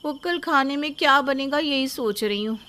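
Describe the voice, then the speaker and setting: A woman's voice: high voice, normal speed, pushed and bright, pitched above the performer's own voice. A woman playing an overworked young daughter-in-law who complains dramatically in a story. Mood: worried. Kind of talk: moral story dialogue.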